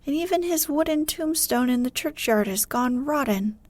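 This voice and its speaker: A thin-voiced old man of the village , put on by a woman reader. He pipes up high in a matter-of-fact storybook manner, with a dry, close sound. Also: animated, normal speed, a little mellow, dry recording. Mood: matter-of-fact, reminiscing. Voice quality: thin, piping. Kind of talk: storybook character voice.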